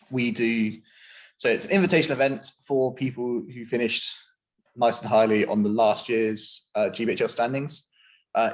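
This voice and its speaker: A man hosting an online interview, explaining a tournament in a relaxed, filler-heavy way. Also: medium pitch, rough recording. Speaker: host.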